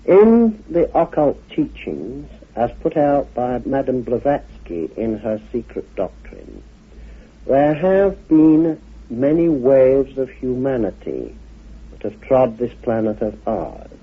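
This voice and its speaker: A man giving a measured expository talk as a guest speaker, deliberate and pausing between short phrases. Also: medium pitch, very animated, slightly rough. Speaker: guest speaker on occult teachings. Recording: narrow, tinny old radio recording.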